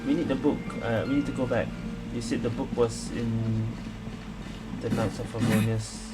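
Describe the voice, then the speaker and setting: A man's voice: mid-range voice, steady tone, normal speed, low-quality recording. A man giving casual game playthrough commentary as a streamer, reacting and thinking aloud. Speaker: gaming streamer. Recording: loud game music behind.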